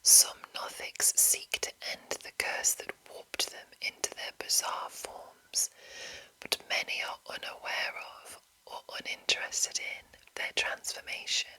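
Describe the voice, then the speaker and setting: A woman whispering as an ASMR host, reading and commenting in a hushed, lingering manner with drawn-out phrases. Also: rough recording.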